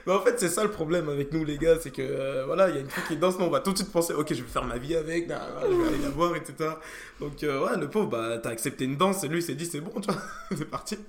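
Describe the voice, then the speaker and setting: A man's voice: on the high side, animated, fast, slight background noise. French man chatting in an echoey room. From France. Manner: hesitant, many fillers and restarts. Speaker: podcast host. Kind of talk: casual chat.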